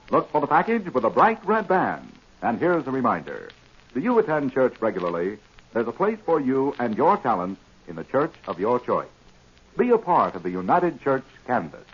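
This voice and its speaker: A man's voice: medium pitch, sing-song, normal speed, breathy edge. A man reading sponsor messages as an announcer in 1940s radio advertising style. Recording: dull 1940s radio recording.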